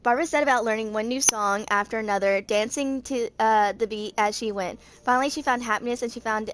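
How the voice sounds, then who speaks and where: Young woman haltingly reading aloud. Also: fairly high pitch, noisy background. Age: 20s. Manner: halting read-aloud with stumbles and misreadings. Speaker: amateur video creator reading game character lore. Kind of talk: reading story text aloud.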